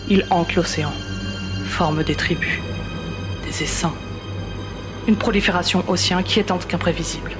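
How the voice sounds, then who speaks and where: A man narrates a science-fiction audio drama over clear music. His voice is on the high side, and he speaks solemnly in clipped, scripted phrases.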